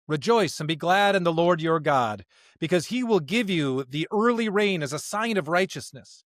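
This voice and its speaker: A man's voice: sits high, a bit bright, no room sound, clean studio sound. A man co-hosting a podcast, discussing and reading scripture in an emphatic, conversational way. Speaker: podcast co-host.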